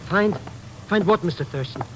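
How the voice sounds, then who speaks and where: An actor playing an excitable, naive, puzzled sidekick in an old radio drama, plaintive and exclamatory. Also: male voice, high voice, normal speed, close to an everyday voice. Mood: excited, puzzled. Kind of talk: 1940s-50s American radio drama acting. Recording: vintage radio recording with faint noise.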